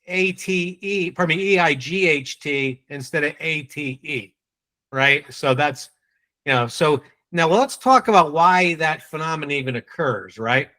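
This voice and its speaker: A man with a New England accent leading a live online sales training, quizzing and teasing his audience in a brisk way. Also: on the high side, pushed and bright.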